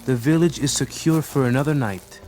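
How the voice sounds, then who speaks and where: An actor playing a skeptical, self-assured village deputy in rural Mexico, protesting and plain-spoken in a radio drama. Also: male voice, medium pitch, a little fast, darkish tone, slight background noise, lightly acted. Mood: reluctant, assured. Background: crickets and music behind the voice.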